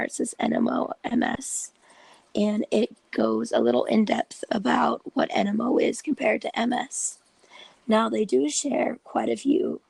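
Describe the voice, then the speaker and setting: A host with a cold and a raspy, breathy voice, explaining a topic slowly and patiently in a casual vlog, often dropping into half-whispers. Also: medium pitch, no room sound, low-quality recording. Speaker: video host and patient support group leader.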